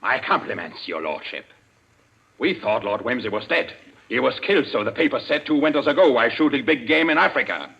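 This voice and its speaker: An actor playing the commanding, menacing leader of a secret criminal society, with formal, deliberate speech in an old radio thriller. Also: male voice, medium pitch, lively intonation, creaky. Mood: coolly sardonic. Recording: dull, lo-fi radio drama recording.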